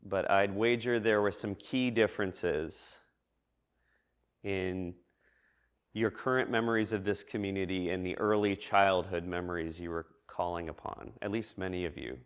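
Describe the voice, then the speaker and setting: A man preaching a reflective message to a congregation in a measured, thoughtful way with deliberate pauses. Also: sits low, clear, resonant tone. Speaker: preacher giving a church message. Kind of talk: reflective sermon addressed to a congregation.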